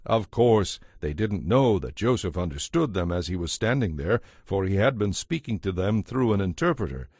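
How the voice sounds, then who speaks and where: Male narrator for audio Bible: lower pitch, scripted storytelling, dry close recording. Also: expressive, normal speed, hardly any pauses, a little mellow, slightly rough, no room sound.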